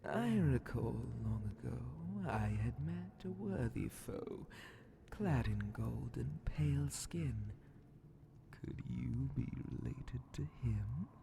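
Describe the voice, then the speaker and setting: A man voicing a sly, menacing demon Grand Vizier of hell in a breathy, theatrical half-whisper for an ASMR horror fantasy roleplay. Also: medium pitch, animated, background noise, heavily acted. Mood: wary, courteous. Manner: slow, theatrical, drawn-out, mockingly polite.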